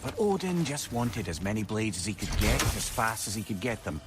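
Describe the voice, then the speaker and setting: A man's voice: on the low side, big pitch swings, a little fast, over loud music. An actor playing a wise, remorseful older man with a Scottish accent, an eloquent talking-head companion in a video game. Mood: remorseful, grave. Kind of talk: dramatic video game dialogue.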